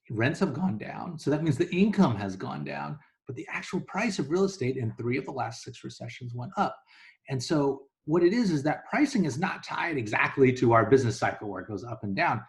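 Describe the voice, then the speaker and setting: Man, medium pitch, investor interview guest, casual explanatory talk, conversational, illustrates with hypothetical quotes.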